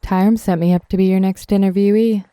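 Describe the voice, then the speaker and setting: A young woman playing a tough, sardonic zombie killer in an audio drama, with a deadpan, teasing, brisk delivery. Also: mid-range voice, no room sound, clean studio sound, close to an everyday voice. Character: tough, sardonic twenty-five-year-old woman, cocky zombie killer. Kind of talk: audio drama acting, casual dialogue.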